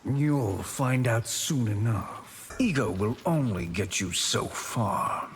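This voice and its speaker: A cruel game sorcerer who speaks very slowly, with cold, haughty contempt. His mid-pitched voice sits over faint noise. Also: lively intonation. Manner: measured, haughty, menacing. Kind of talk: video game character acting.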